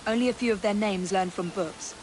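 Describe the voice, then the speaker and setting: A woman's voice: mid-range voice, fairly quick, natural voice. An actress playing a poised, capable young Victorian woman with an English accent, polite and assured in a game cutscene. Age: young adult. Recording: game audio with very noisy background.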